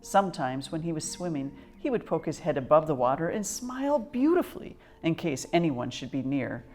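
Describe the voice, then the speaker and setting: A woman reading a children's picture book aloud as a storyteller, with expressive, measured delivery. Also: low voice, faint music behind.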